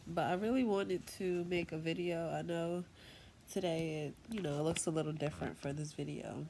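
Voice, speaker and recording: A woman's voice: sits low, steady tone, moderate pace, mellow, a little hiss or hum. A young woman with a stuffy nose from a cold, talking casually and rambling with lots of fillers, recorded on a tablet at home. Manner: rambling, chatty, full of fillers. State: congested from a cold. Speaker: channel host. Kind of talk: casual vlog monologue. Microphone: tablet microphone.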